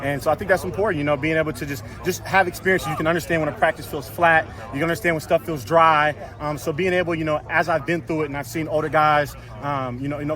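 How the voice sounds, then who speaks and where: A young man, a college offensive lineman with a loud, carrying voice, answering interview questions outdoors after practice in a rapid, repetitive way full of fillers. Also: a little high. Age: young adult. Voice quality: loud voice that carries. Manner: rapid, filler-heavy, repeats words. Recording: outdoor media scrum with crowd chatter.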